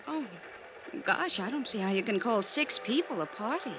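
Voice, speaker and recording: An actress playing a young, gushing party guest who babbles eagerly in an old-time radio drama. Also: female voice, a little high, expressive, moderate pace, pushed and bright. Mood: playful, chatty. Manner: babbling, breathless enthusiasm. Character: gushing, girlish, chatty young party guest, secretly shrewd. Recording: noisy old radio recording.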